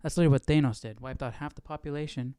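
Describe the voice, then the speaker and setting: A man's voice: fairly high pitch, steady tone, racing, mellow, slight background noise. A man hosting a livestream, giving casual commentary and musing aloud in an informal way. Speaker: livestream host. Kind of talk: casual Bible study commentary.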